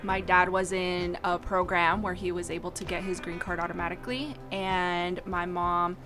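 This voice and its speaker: A young woman from Idaho, a radio talk show guest, telling stories in a casual, animated way. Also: fairly low pitch, moderate pace, over music. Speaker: guest, social media co-founder.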